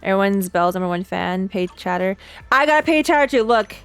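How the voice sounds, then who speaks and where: A woman's voice: mid-range voice, expressive, fairly quick, clear, resonant tone, soft background music. A woman livestreaming a game reaction, reading dialogue aloud and chatting with playful, teasing, slangy asides. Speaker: streamer reacting to a game story.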